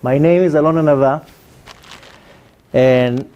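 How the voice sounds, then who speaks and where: A man in his forties with an Israeli accent, a native Hebrew speaker, giving an informal, animated personal testimony as a religious lecturer. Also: on the high side, brisk, clean tone, dull audio. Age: 40s.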